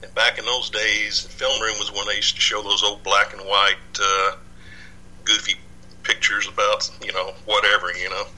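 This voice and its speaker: Bright-voiced older man, rambling. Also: lowish voice, flat delivery, moderate pace, rough recording. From Dallas, Texas. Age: 60s. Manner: rambling, many fillers and restarts. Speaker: podcast guest, researcher. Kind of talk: casual interview.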